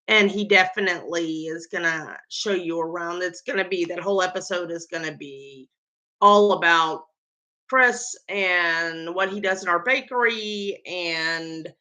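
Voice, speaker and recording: A woman's voice: lowish voice, a little slow, bright, forceful tone. A tired woman with a Southern American accent, chatting casually to camera on her baking vlog, rambling with lots of ums. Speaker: home baker and vlogger.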